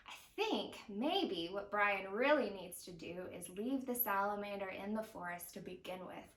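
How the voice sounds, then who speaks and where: Woman doing storytime for little kids, really bright voice, careful expressive delivery, echoey room. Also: mid-range voice. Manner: clear, deliberate, expressive phrasing for young children. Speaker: zoo educator reading a picture book. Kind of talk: children's storytime read-aloud. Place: living room at home, indoors. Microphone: camera a few steps away. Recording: roomy echo of a home interior.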